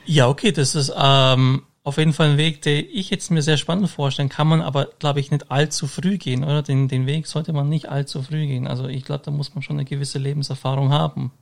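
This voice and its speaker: A man's voice: on the high side, steady tone, a little fast, creaky, hardly any pauses. Rambling man hosting a chat. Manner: rambling, with many fillers and restarts. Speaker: podcast host. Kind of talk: casual interview chat.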